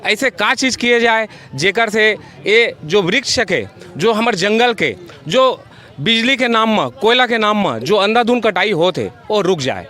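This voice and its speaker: A man's voice: high voice, animated, unhurried, sharp tone. A man with a Chhattisgarhi accent speaking as a social activist, emphatic and impassioned, repeating phrases for weight. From Chhattisgarh, India. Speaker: social activist, NGO worker. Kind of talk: impassioned interview answers.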